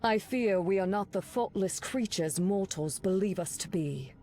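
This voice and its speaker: A woman's voice: mid-range voice, lively intonation, normal speed, dark tone, a little hiss or hum, natural voice. A woman voicing a noble warrior angel in a video game, speaking in a grand, formal, solemn style. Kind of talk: video game character voice acting.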